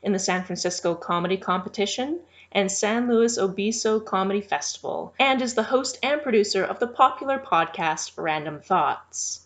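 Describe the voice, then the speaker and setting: A woman reading a scripted biographical introduction as an announcer. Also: mid-range voice.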